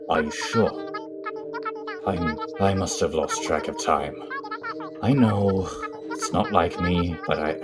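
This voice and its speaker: A man voicing a grim, obsessive scientist in a dramatic comic dub, with a hushed, measured, formal and menacing delivery. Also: deep voice. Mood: tired, evasive. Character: cold, grim, obsessive male royal scientist, weary and menacing.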